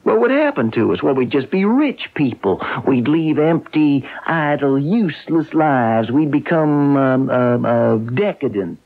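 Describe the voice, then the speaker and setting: An actor playing a cocky, power-hungry small-time schemer in a radio drama, with a smug, folksy, wheedling manner. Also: male voice, mid-range voice, expressive, measured pace, few pauses. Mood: smug, confident. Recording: muffled old radio broadcast recording.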